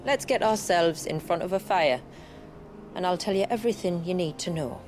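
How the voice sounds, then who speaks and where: Low-voiced, proud village leader played by a woman. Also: normal speed, music in the background, close to an everyday voice. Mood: welcoming. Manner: composed, dignified, formal. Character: proud, assured female leader of hidden village. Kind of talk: dramatic video game cutscene acting.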